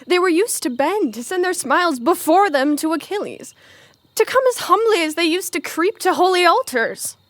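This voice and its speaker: A woman's voice: very high-pitched, animated, normal speed, fairly bright tone, no room sound. A young woman in a Shakespearean audio drama, playing a warrior's devoted young male companion, agitated and emphatic. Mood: indignant. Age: young adult.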